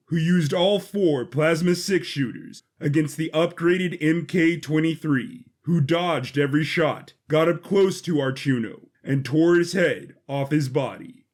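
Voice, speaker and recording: Male narrator for a science-fiction audio story, pitched a little above average, reading scripted prose.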